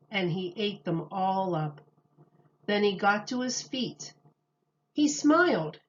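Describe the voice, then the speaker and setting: A woman reading a children's picture book aloud like a teacher, in a deliberate, expressive way. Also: medium pitch, muffled recording. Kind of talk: children's storybook read-aloud.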